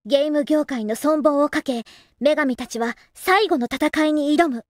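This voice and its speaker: A woman's voice: high-pitched, unhurried, dry recording, studio quality. A woman voicing a girlish young heroine who narrates game recaps in an earnest, theatrical, carefully enunciated style. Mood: earnest, dramatic. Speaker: narrator of episode recaps and previews. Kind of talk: scripted dramatic next-episode preview narration.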